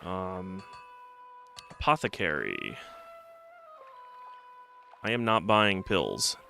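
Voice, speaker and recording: Stuffy, gravelly-voiced man streaming a game. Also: deep voice, sing-song, drawn-out words, sharp tone. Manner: rambling, thinking aloud with many fillers. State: slightly stuffy from a cold. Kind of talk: casual let's-play commentary. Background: game music.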